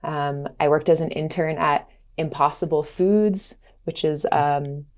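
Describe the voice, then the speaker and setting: A woman, a sustainability consultant, explaining her career thoughtfully with frequent pauses. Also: sits low, steady tone, darkish tone. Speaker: sustainability consultant, studio co-lead. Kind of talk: casual interview answers.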